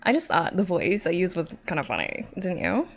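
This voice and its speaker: A woman's voice: on the low side, big pitch swings, a little fast, creaky, heavily acted. A woman voicing a playful, chatty male snake in a storybook reading, with a drawling Valley Girl accent full of fillers. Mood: teasing, smug. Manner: drawling, filler-heavy, breezy.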